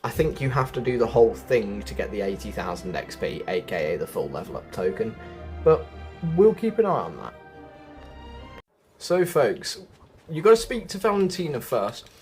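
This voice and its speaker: Casting: male gaming guide host, chatty and animated in pitch, thinking aloud, light music behind. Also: normal speed. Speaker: video game guide host. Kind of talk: casual gameplay walkthrough commentary.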